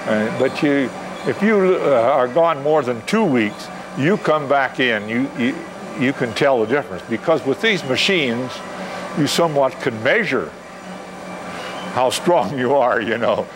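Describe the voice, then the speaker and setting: A man in his seventies talks through his answers, stopping for fillers and repeating himself as he goes. His pitch sits mid-range for a man. Steady background noise from the gym runs under him. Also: a little slow. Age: 70s. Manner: rambling, with many uh fillers and repeated words. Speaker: interviewee, senior athlete. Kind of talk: casual interview answers.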